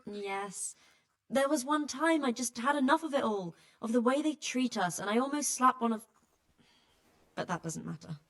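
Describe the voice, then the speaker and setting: An actress playing a weary, blunt healer who tends refugees, with dry exasperation, in a dramatic video game. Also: female voice, fairly high pitch, expressive, normal speed. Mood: indignant, guarded. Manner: exasperated, dry, plain-spoken.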